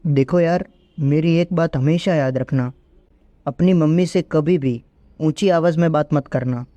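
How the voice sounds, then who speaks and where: A man delivering scripted comic lines to a friend in a mock-earnest, deadpan manner. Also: on the high side, measured pace, lo-fi sound, a little hiss or hum.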